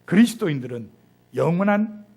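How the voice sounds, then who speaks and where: A middle-aged male pastor preaching a sermon, telling a personal story with lively, rising and falling emphasis. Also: sits high, moderate pace, vocal fry, slightly rough.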